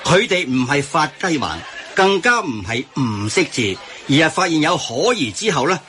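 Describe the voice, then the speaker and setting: A man's voice: on the high side, big pitch swings, moderate pace, pushed and bright, slight background noise. A man with a Hong Kong accent acting a well-informed friend who patiently explains news in a radio drama. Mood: explanatory.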